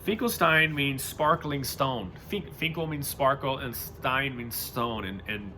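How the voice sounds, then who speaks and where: A man's voice: medium pitch, a little slow, background noise. An American man reading aloud and adding casual, rambling, informal commentary in a home-made recording. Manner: rambling, informal, loses his thread. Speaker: reader and commentator.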